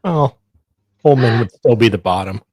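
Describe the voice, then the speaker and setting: A man's voice: mid-range voice, expressive, normal speed, darkish tone, dry recording, clean studio sound. A man co-hosting a casual chat, chipping in with brief interjections.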